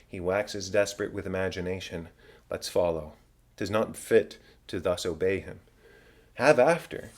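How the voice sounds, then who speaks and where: A man's voice: sits low, measured pace. Guy doing a worried loyal friend of a prince, earnest stagey shakespeare audiobook reading. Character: anxious, loyal male companion warning his prince of danger.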